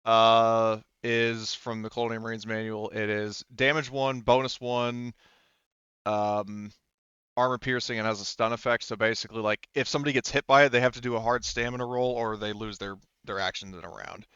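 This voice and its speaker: A man acting as game master for a tabletop roleplaying game, explaining rules and scenes in a halting, conversational way on a close microphone. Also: on the low side, little pitch movement, a bit bright, smooth, no room sound, dull audio.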